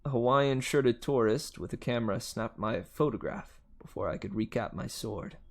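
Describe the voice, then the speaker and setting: A man's voice: medium pitch, moderate pace, vocal fry. A man reading aloud as a boy hero narrating an adventure story with dramatic urgency. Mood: tense, dramatic. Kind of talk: dramatic audiobook-style reading.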